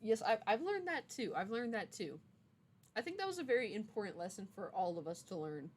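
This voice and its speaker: A woman's voice: mid-range voice, big pitch swings, a little fast, slight background noise. A young adult woman streamer chatting casually with her viewers in a playful, teasing, mock-stern way. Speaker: streamer, game writer. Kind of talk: casual livestream chat.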